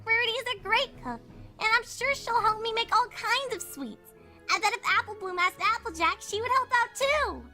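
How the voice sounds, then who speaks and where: An eager little filly pony, very high and bright in voice. She exclaims with bubbly wonder in a storybook audio drama, with soft music underneath. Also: lively intonation, normal speed, full character voice. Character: excited, eager young filly pony, female child. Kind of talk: dramatised fan fiction audio. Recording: music behind the voice.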